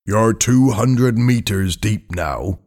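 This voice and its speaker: A man voicing a steady, practical boat captain in an audiobook story, measured and matter-of-fact. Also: fairly low pitch.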